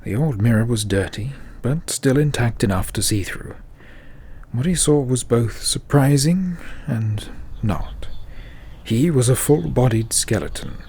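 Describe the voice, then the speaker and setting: A man's voice: mid-range voice, lively intonation, measured pace, mellow, slight background noise. A man narrating a scripted fantasy audio drama as the storyteller.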